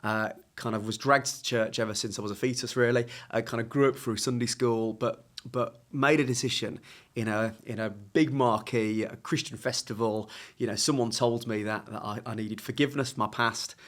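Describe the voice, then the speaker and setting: A man in his thirties from England, a podcast guest telling his life story in a lively, self-mocking way. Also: medium pitch, moderate pace. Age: 30s. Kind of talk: casual interview.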